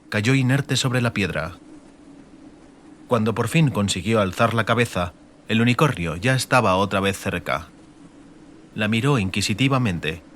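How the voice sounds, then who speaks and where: A man's voice: on the low side, a bit bright, a little hiss or hum. A man with an accent from Spain, narrating a fantasy audiobook in a dramatic scripted style. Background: music.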